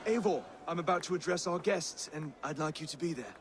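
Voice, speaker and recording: A man's voice: a little high, brisk, slight background noise, lightly acted. An actor playing a genial, courteous Saxon king in a video game, polite and formal. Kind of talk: video game drama acting.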